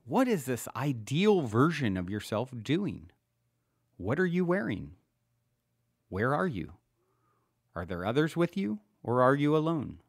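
A man reading a self-help book aloud as scripted narration, in a measured, instructional way with clear phrasing. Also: mid-range voice, dry recording, clean studio sound. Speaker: podcast host reading his own self-help book.

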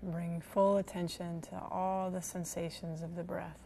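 A woman with a lowish voice leads a guided meditation, speaking gently and soothingly. Her intonation stays very flat and steady, with long pauses between phrases. There is noticeable background noise in the recording. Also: creaky. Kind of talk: guided body scan meditation.

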